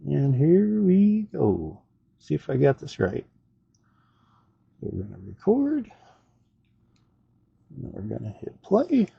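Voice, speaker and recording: A man's voice: on the high side, wildly swooping pitch, slow, gravelly, pauses often, slightly rough, dry recording. A man casually talking through an unscripted gadget review as host, in a rambling, hesitant, self-deprecating way, on a slightly dull recording.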